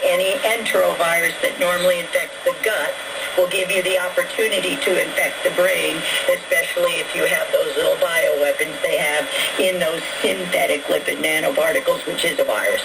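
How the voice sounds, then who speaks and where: A woman's voice: fairly low pitch, gravelly. A middle-aged woman speaking as an interview guest over static-laden shortwave radio, emphatic and insistent in a rambling monologue.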